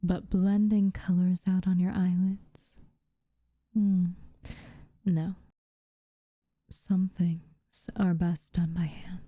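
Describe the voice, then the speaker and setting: A woman playing an aloof, teasing but secretly caring witch in a soft, breathy, intimate ASMR roleplay. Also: lowish voice, little pitch movement, no echo, clean studio sound. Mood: gently instructing. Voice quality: soft, breathy, often near a whisper. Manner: unhurried, hushed, dryly teasing.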